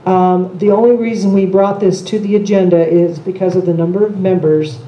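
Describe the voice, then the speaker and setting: An older retired woman chairing a board meeting, speaking in a measured, procedural way with frequent ums. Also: fairly low pitch, steady tone, warm tone, dull audio, slight background noise. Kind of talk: meeting discussion. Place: large meeting room with long conference table. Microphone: table microphone. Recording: echoey room, camera mic.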